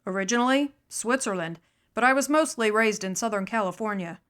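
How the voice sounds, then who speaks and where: Brief: a woman reading a cocky, laid-back guy, deadpan and breezy, light voice. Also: mid-range voice, brisk, close to an everyday voice. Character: cocky, laid-back young man, scruffy and unbothered.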